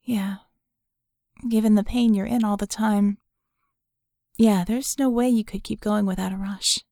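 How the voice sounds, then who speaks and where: A woman voicing an earnest, curious and skeptical female mage in an audiobook, speaking in a halting, candid, conversational way. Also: mid-range voice, fairly even intonation, dark tone, dry recording, clean studio sound, pitched above the performer's own voice, lightly acted. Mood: thoughtful, sympathetic.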